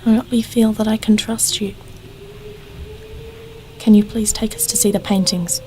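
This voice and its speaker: A woman's voice: medium pitch, steady tone, normal speed, darkish tone, natural voice. A young woman acting in an audio drama as a dreamy, trusting idealist who loves art, speaking earnestly and wistfully. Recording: distant, echoey hall, music behind.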